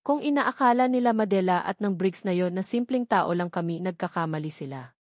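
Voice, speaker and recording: A woman's voice: lowish voice, animated, fairly quick, a little mellow, no room sound, clean studio sound. A woman reading a scripted novel aloud as a first-person story narrator. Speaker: audiobook narrator.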